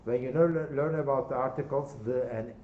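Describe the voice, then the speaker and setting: A man's voice: medium pitch, fairly quick. A man with a Turkish accent giving a university lecture, repeating himself and checking in with "okay?" and "right?". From Turkey. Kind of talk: classroom lecture. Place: classroom. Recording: dull, echoey lecture hall recording.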